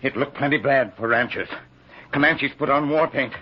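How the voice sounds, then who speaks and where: Man playing a stoic western scout companion, clipped broken English, mid-pitched, old radio drama, muffled with faint noise. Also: moderate pace, lightly acted. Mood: grave, stoic. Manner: terse broken pidgin English.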